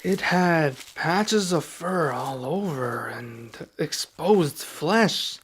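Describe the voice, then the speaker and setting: A young man playing a serious, guarded dragon adventurer in an ASMR roleplay, speaking softly and slowly with pauses. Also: fairly high pitch, expressive, breathy edge, exaggerated voice. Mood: explaining. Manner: soft-spoken, measured, with pauses.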